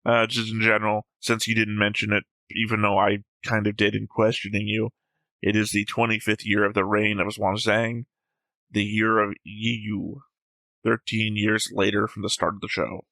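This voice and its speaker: Man, bright tone, lowish voice, methodical, reads notes in casual chat, dry close sound. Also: little pitch movement, moderate pace, creaky, no room sound, poor audio. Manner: methodical, reads out notes, careful about spoilers. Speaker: reaction show host. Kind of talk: casual discussion reading notes.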